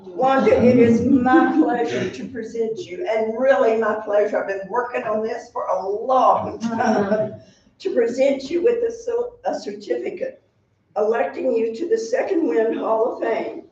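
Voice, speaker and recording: A woman presenting an award at a town meeting, reading prepared remarks in a measured, formal way. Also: a little high, a little mellow, a little hiss or hum. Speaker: hall of fame president presenting an award. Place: town hall meeting room. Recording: echoey hall, distant, dull sound.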